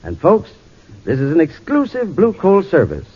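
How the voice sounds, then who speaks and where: A man's voice: high voice, wildly swooping pitch, normal speed, slightly rough. A man reading a scripted 1940s radio advertisement in a folksy, courteous, persuasive way as an expert spokesman. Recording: dull 1940s radio recording.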